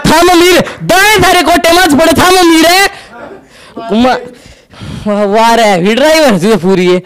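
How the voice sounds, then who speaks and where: A young man with a Haryanvi accent doing stand-up storytelling on an indoor stage into a handheld mic, acting out quick back-and-forth dialogue. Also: very high voice, clean tone. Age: young adult. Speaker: stand-up comedian. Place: indoor stage with curtain backdrop.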